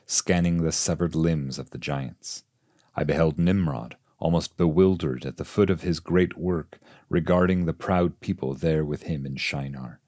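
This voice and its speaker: A man reading a classic poem as literary audiobook narration, in a measured, solemn manner. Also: extremely low pitch, a little mellow, no echo, clean studio sound. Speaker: translator and reader of a classic poem.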